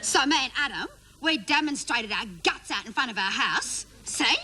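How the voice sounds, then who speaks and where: A woman's voice: a little high, animated, normal speed, bright, forceful tone, a little hiss or hum. A woman playing a naive, chatty, indignant schoolgirl in a comedy monologue, running on breathlessly and mimicking her family's words. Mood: chatty, aggrieved. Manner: breathless run-on gossip with mimicked family quotes.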